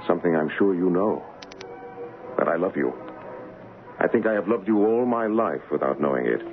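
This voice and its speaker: A man's voice: low-pitched, expressive, moderate pace. An actor playing a brave, courtly adventurer who poses as a king, composed and earnest in a radio drama. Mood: tender. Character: brave gallant adventurer posing as a king, devoted lover. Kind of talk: radio drama acting and first-person narration. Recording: dull 1970s radio broadcast, music behind.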